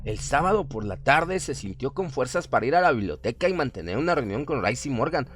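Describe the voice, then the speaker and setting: A man's voice: mid-range voice, sing-song, fairly quick. A man narrating a scripted horror story in a dramatic radio style. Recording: prominent music under the voice. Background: music.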